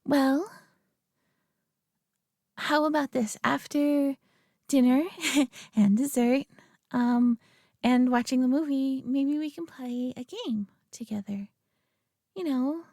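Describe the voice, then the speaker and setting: A young woman playing a sweet, nervous girlfriend who talks softly to the listener, giggling and stammering often. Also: sits high, steady tone, slow pace, pauses often, dry recording, studio quality. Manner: giggly, stammering, soft-spoken. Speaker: audio roleplay performer. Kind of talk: girlfriend roleplay addressing the listener.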